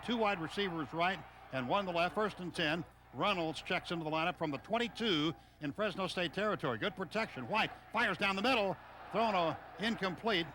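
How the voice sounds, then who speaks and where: High-voiced American football play-by-play man. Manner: clipped running description in short phrases. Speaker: radio play-by-play announcer. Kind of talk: live American football radio commentary. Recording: noisy live stadium radio broadcast.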